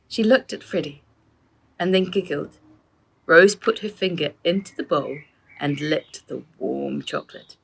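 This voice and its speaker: A woman, an author, reading her own children's book aloud in an expressive storytelling way. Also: fairly low pitch, slightly rough, poor audio.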